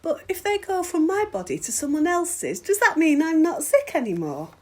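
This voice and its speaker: A woman's voice: very high voice, very animated, moderate pace. A woman voicing a curious, puzzled young schoolgirl in a children's storybook. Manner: questioning, puzzled. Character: curious young schoolgirl questioning her father. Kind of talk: read-aloud children's storybook dialogue.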